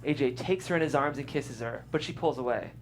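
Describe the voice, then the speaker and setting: A narrator reading stage directions aloud at a script table read, amused and lively. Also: mid-range voice, a little fast, slight background noise.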